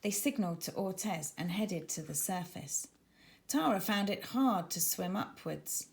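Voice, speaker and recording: A woman reading her children's book aloud as a dramatic, suspenseful and expressive narrator. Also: medium pitch, a little slow, creaky. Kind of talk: expressive scripted story narration.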